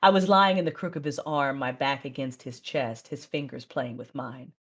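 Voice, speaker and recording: A woman as a low-voiced, measured Sith mother. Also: steady tone, slightly rough, heavily acted. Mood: intimate, resolved. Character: Sith mother and wife, controlled, proud, devoted. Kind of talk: first-person dramatic narration and dialogue.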